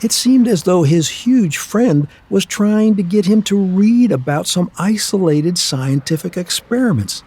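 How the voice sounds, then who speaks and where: A man narrating a scripted radio play in a measured storytelling manner. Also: high-pitched, lively intonation, darkish tone, slightly rough.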